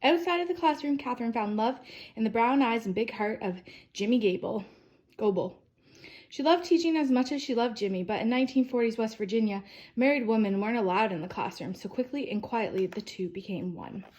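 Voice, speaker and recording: A woman with a slightly high voice reads a children's biography aloud in storytime style. She phrases deliberately, starting sentences with emphasis and letting the endings fall away softly. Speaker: storyteller reading a picture book aloud.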